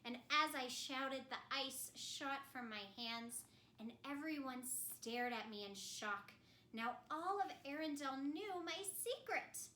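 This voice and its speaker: A woman's voice: high voice, animated, slow pace, pushed and bright, slight background noise. A woman telling a children's story in the first person as an ice queen, theatrical and speaking simply and directly to children. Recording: roomy echo.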